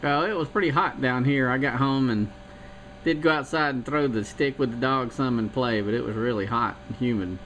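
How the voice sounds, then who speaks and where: Relaxed South Carolina CB radio man. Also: medium pitch, a little fast, dull audio, background noise. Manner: relaxed rambling with fillers. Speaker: CB radio operator. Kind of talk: casual radio chat.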